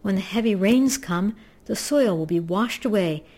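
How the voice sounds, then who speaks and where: A woman's voice: mid-range voice, expressive, moderate pace, warm tone, voice pushed up, lightly acted. A woman voicing a worried, scolding rainforest monkey with a chattering manner in a storybook. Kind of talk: storybook character voice.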